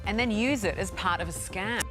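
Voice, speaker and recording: A woman's voice: medium pitch, a little fast. A woman presenting a news item in a conversational broadcast style. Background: clear music.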